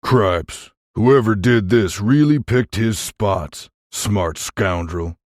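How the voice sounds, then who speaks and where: A giant, tough gunslinger from a storybook , acted by a man. He is proud and folksy, drawling slowly, recorded dry and close. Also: lowish voice, darkish tone, no echo, pitched above the performer's own voice. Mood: gruff resolve.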